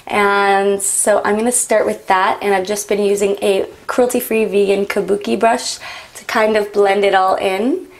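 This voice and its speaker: Young woman vlogger, raspy and gravelly, loose rambling chat. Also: medium pitch, flat delivery, moderate pace. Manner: rambling, frequent "like" and "um". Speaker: beauty vlogger. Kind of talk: chatty get-ready-with-me talk. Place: home, doing makeup.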